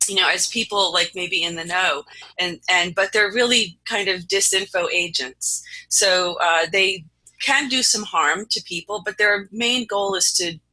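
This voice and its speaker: Talk show host, mid-pitched and very bright, forceful tone, halting delivery on news commentary. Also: low-quality recording. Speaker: host introducing stories and a guest.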